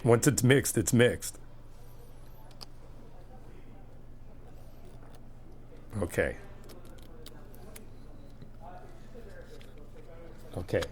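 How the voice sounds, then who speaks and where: A man's voice: on the low side, lively intonation, normal speed, darkish tone, lightly acted. A man playing an easygoing, encouraging friend in a casual ASMR roleplay, chatting informally and explaining things patiently. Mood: casual, nudging. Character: easygoing, encouraging male friend helping paint an apartment.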